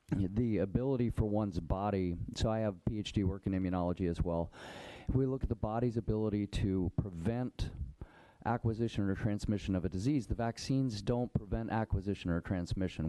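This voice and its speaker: A physician testifying to a state senate committee, a man whose voice is giving out, talking in a brisk, conversational way with frequent filler words and throat clearing. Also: lowish voice, dark tone. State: losing his voice. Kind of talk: formal testimony.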